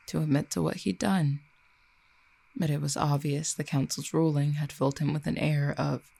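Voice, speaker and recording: Casting: composed, dangerous exiled cupid for audio roleplay performed by an actor, eloquent and formal, dark creaky voice of medium pitch. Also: heavily acted. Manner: measured, formal, eloquent phrasing. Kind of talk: audio roleplay acting, addressed to listener.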